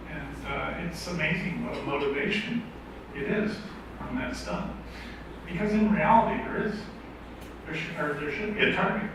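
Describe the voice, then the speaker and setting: A man's voice: fairly high pitch, normal speed. A man on a housing panel, agreeable and a bit hesitant as he makes his point. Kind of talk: panel discussion. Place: event hall with audience. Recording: echoey hall, distant mic, background noise.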